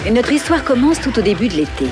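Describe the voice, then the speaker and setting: A woman's voice: fairly high pitch, big pitch swings, fast, over music. A woman giving scripted, storybook-style narration for a cartoon.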